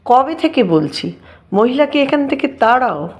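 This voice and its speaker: A woman playing a concerned, stern middle-aged housewife and mother in an audio drama, insistent and deliberate. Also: sits high, very animated. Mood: disapproving.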